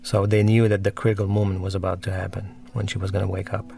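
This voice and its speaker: Low, gravelly man giving film commentary. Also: flat delivery, quick pace, mellow. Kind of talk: film audio commentary, casual and reflective. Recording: film soundtrack playing underneath. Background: film music and dialogue.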